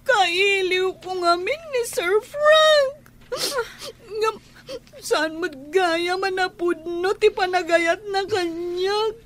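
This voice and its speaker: A woman's voice: very high-pitched, expressive, slow pace, fairly bright tone, slight background noise, exaggerated voice. An actress in an Ilocano radio drama playing a young woman, speaking tearfully and pleadingly. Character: young woman Angie, heartbroken over her love.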